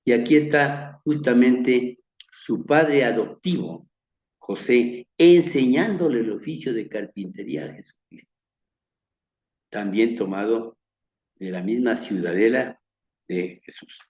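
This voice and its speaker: Slow, earnest Ecuadorian man teaching scripture. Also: mid-range voice, echoey room, muffled recording. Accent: Ecuadorian, from Quito. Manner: measured and earnest, repeats words, trails off softly at phrase ends. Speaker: Bible teacher. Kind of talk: slow sermon-like slideshow lecture.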